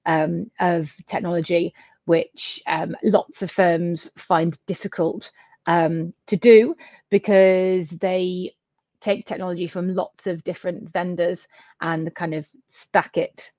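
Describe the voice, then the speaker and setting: A woman's voice: lowish voice, steady tone, slow, mellow, dry recording. A middle-aged woman from the UK speaking chattily as she explains things as an interview guest. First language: English. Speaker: interview guest, company founder and CEO. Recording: dull, slightly lo-fi audio.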